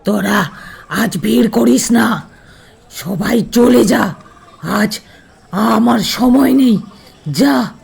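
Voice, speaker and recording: An actor playing a vengeful, commanding village sorcerer in an audio horror story, with a raspy croak and a threatening manner. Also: male voice, high voice, full character voice. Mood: impatient, commanding. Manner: slow, drawn-out, threatening delivery.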